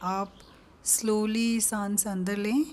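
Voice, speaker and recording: A woman with an Indian accent leading a guided meditation, giving calm, gentle instructions with long pauses between them. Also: mid-range voice, slight background noise.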